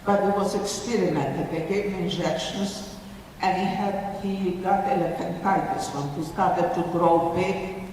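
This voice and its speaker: A woman, giving halting, emotional testimony as a survivor speaking to an audience. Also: on the low side, fairly even intonation, a little mellow. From Ukraine. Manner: halting, emotional, restarts phrases. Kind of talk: personal testimony talk to an audience. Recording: echoey hall from far off with faint noise.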